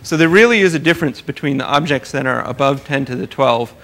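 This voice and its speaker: A male scientist lecturing informally, with a creaky, crisp-edged voice at a middling pitch. He qualifies his points carefully and drops in dry, wry asides as he explains. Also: quick pace, a bit bright. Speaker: astronomer giving a research talk.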